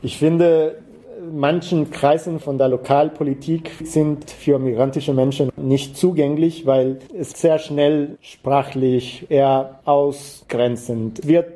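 A man from Costa Rica, a native Spanish speaker with a Latin American accent, answering interview questions as an activist in a halting way, searching for words. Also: fairly high pitch, fairly even intonation, mellow.

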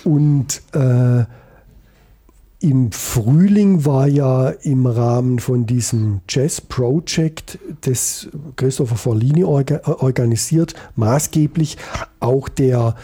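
A man's voice: mid-range voice, slow, mellow, breathy edge. A man hosting a radio jazz show, chatting casually between tracks, rambling with frequent hesitations and fillers.

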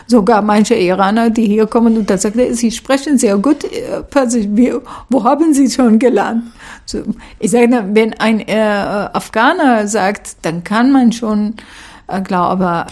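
Halting woman. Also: a little high, darkish tone. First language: Arabic. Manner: halting, searching for words, many fillers. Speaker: social worker. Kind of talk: interview answers.

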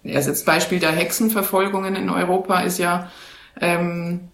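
A woman's voice: lowish voice, little pitch movement, normal speed, creaky, echoey room. A woman interviewing a guest, thinking aloud with frequent fillers as she shapes her questions. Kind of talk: conversational interview.

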